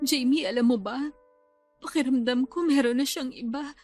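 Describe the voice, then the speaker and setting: A woman's voice: high-pitched, moderate pace, a little mellow, dry recording. A woman acting an anxious, hopeful young bride-to-be, confiding emotionally in a Filipino radio drama. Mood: worried. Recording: music bed under the voice.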